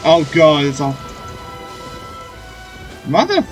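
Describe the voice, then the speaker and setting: A man's voice: on the high side, huge pitch swings, slow pace, faint music behind. A young man streaming a game, laid-back, joking and swearing as he comments. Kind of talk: casual gameplay commentary.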